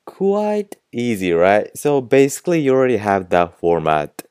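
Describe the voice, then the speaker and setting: A man's voice: medium pitch, huge pitch swings, moderate pace, a little mellow, clear, resonant tone, no echo, clean studio sound. A young man from Japan with a Japanese accent who speaks English as a second language. He hosts a casual podcast lesson and chats loosely, filling his talk with "like" and "you know". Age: young adult. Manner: chatty, fills speech with "like" and "you know". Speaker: podcast host and language teacher.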